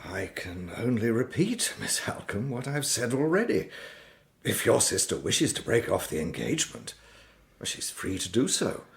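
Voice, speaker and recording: Period drama role: a smooth English baronet, gallant, unyielding, very animated intonation, gravelly creak. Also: male voice, mid-range voice, moderate pace. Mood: hesitant, courteous. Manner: hesitant, polished, gallant. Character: smooth, courteous Victorian baronet suitor, quietly unyielding. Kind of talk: period radio drama acting.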